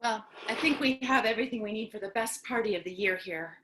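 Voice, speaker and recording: A woman playing an earnest, excitable apocalypse survivor in a staged play reading, gushing and expressive. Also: mid-range voice, quick pace, a little hiss or hum, lightly acted. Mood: gushing, excited.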